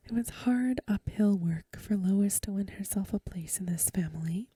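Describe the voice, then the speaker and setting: A woman reading a story aloud as soft-spoken ASMR, in a gentle, hushed, whispery voice close to the microphone. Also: medium pitch, normal speed, slightly rough, no room sound. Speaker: ASMR host.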